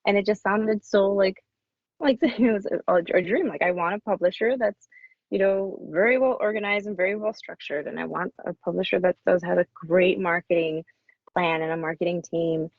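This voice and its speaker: Woman chatting, low voice, dull lo-fi sound. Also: no room sound. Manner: effusive, halting, restarting often. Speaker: author, podcast guest. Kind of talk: casual interview chat. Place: small cabin in the woods. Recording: dull lo-fi audio.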